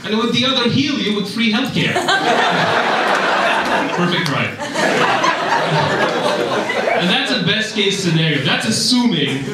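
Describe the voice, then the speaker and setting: Young stand-up comic in an echoey club. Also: male voice, sits high, a little slow, long pauses, heavy background noise. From Russia. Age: young adult. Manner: deadpan, wry delivery with filler ums. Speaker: stand-up comedian. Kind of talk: stand-up comedy set. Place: comedy club stage. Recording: echoey club recorded from a distance. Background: audience laughter.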